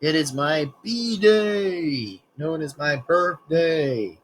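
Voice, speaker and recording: Rambling young man reading haltingly. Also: on the high side, lively intonation, a little hiss or hum. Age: 20s. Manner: rambling, many restarts and stumbles while reading. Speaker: reaction channel host. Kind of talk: casual reaction video, reading text aloud.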